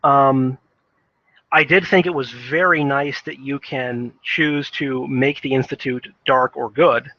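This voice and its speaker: A man's voice: mid-range voice, steady tone, takes it slowly, sharp tone, no room sound. A man giving casual, opinionated video game commentary in a rambling, hesitant way, thinking aloud on a dull lo-fi microphone.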